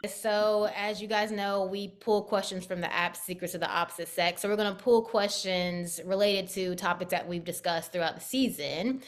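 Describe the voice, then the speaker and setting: A woman's voice: medium pitch, fairly even intonation, normal speed, hardly any pauses. A woman hosting a podcast, leading a casual group talk on dating and laughing easily. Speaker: podcast host. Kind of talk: casual panel talk, guiding questions.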